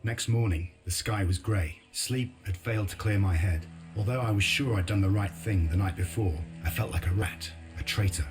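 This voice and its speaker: A weary, reluctant war veteran played by a man in a game drama. He speaks in a low, grave voice with a measured, guarded delivery. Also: music in the background, natural voice. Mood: brooding. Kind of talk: dramatic game dialogue and reflective narration.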